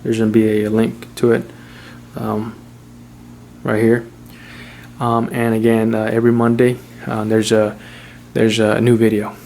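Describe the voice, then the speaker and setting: A man giving a casual, unscripted how-to in an informal, halting, repetitive way, like a hobbyist vlogger. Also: fairly low pitch, completely flat delivery, vocal fry, darkish tone, a little hiss or hum. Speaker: vlogger showing a DIY car install. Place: in and around a Jeep.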